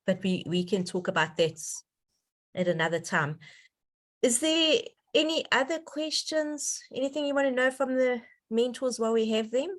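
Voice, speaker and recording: A woman's voice: a little high, lively intonation, moderate pace. A woman hosting a donor conference call, talking casually in an effusive, enthusiastic and appreciative way over an online connection.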